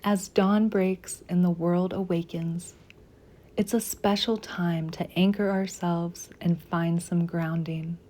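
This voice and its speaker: A woman leading a guided meditation in a soft, soothing, unhurried manner with gentle pauses. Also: lowish voice, fairly even intonation.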